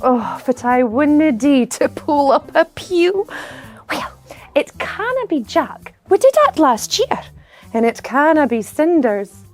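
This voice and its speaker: A woman with a north-east Scottish Doric accent playing a kindly, excitable fairy godmother, speaking in theatrical pantomime rhyme. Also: sits high, takes it slowly, faint music behind, heavily acted. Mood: searching, puzzled. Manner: rhyming, exclaiming, theatrical. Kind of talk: pantomime rhyming monologue.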